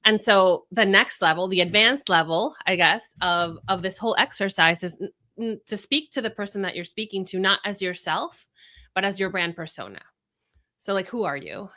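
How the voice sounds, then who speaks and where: A woman's voice: mid-range voice, animated, moderate pace, sharp tone. A woman from Venezuela whose first language is Spanish, a brand strategist explaining her ideas in a podcast interview with examples and rhetorical questions.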